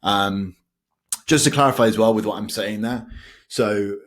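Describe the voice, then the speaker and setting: Low-voiced British man explaining. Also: moderate pace, a bit bright. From United Kingdom. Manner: loose and conversational, with frequent fillers and self-corrections. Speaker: sales automation consultant. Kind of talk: explainer talk to camera.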